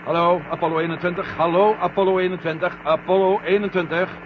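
High-voiced astronaut commander played by a man, muffled recording. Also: fairly even intonation, fast talker, close to an everyday voice. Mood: intent. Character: male moon-mission astronaut commander, firm, cautious, sometimes confused. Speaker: actor in a radio play. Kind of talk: 1970 Dutch science fiction radio drama acting. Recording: muffled, noisy old radio play recording.

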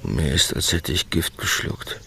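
An actor playing a bitter, proud working-class man in a radio drama, terse and guarded, with a gravelly voice. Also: rumbling low, animated, unhurried, sharp tone, slight background noise, full character voice.

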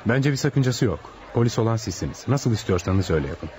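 An actor playing a calm, courteous, authoritative hospital doctor in a radio play. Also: male voice, fairly low pitch, fast, lightly acted. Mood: calm, official. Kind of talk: Turkish radio theatre acting. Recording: noisy old radio-play recording.

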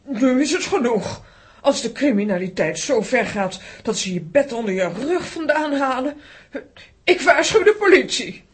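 Very high-pitched, excitable cartoon female. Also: moderate pace, husky. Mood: indignant. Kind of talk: children's audio drama acting.

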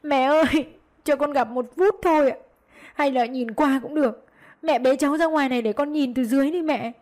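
A woman's voice: high voice, a little fast, a little mellow, voice pushed up, natural voice. Woman acting a tearful, imploring young mother. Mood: tearful begging. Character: desperate young mother pleading tearfully with her mother-in-law.

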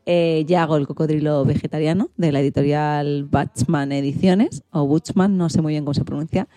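Female radio presenter and storyteller, warm low-leaning tone, dry recording, narrating for children. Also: fairly even intonation, normal speed, no room sound.

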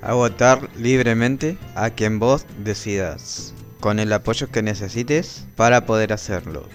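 A man reading scripted text slowly for an amateur radio programme, with music behind. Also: lowish voice. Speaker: radio reader.

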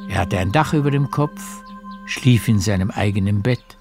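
Gravelly low male storyteller. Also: animated, normal speed. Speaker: narrator. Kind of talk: scripted literary narration for a radio play. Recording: music behind the narration.

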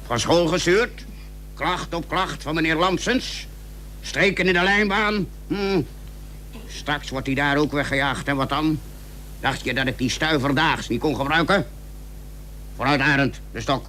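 A man playing a stern but loving father in a Dutch radio play, gruff and solemn as he admonishes. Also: a little high, measured pace, sharp tone. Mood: angry, threatening. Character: stern but loving father sending his son to sea. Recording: noisy old radio play recording.

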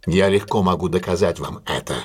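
Composed, low-voiced detective. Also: male voice, fairly even intonation, husky. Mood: assured, explanatory. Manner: measured, assured, formally polite, deliberate. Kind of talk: audio drama acting, classic detective story.

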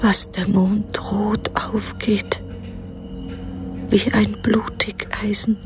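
An actress playing an anxious, uneasy woman in a radio drama, speaking in a hushed, apprehensive way. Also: medium pitch, level tone, very slow, in a whisper, over music. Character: anxious woman, uneasy with her menacing lover. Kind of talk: literary radio drama acting.

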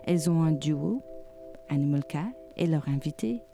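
A woman's voice: sits low, lively intonation, normal speed, vocal fry, loud music behind the voice. A woman hosting a radio session, delivering a scripted introduction of the guests.

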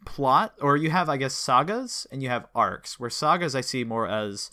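A man's voice: mid-range voice, animated, normal speed, bright, forceful tone. A man speaking conversationally as the interviewer and host of a discussion.

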